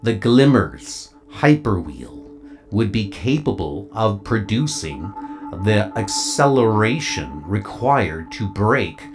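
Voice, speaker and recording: A man's voice: on the low side, sing-song, slow pace, reverberant, full character voice. A man voicing a solemn, ancient giant guardian in a comic-book audio drama, speaking in grave, archaic pronouncements. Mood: solemn, measured. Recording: music behind the voice. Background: loud dramatic music.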